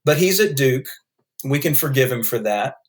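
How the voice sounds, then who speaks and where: A man's voice: mid-range voice, expressive, normal speed. A man with a North Carolina accent, a guest author explaining his ideas in a podcast interview, thoughtful and full of restarts.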